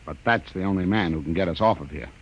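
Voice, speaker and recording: A man's voice: deep voice, fast, very noisy, natural voice. A man acting a stern, worried spaceship captain giving clipped orders in a 1950s radio drama. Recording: muffled old radio recording.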